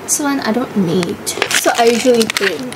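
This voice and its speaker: Chatty woman vlogger, high voice. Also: animated, moderate pace, background noise. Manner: chatty, mixes English and Malay, giggly. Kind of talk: casual vlog chat. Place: bedroom at home. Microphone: handheld camera close up.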